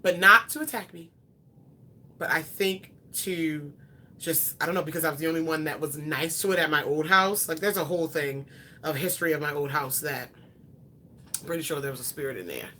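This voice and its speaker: A woman's voice: deep voice, fairly quick, pushed and bright, a little hiss or hum. A woman in her twenties chatting casually with viewers and answering their questions, rambling with frequent ums and self-corrections. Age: 20s. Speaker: beauty vlogger.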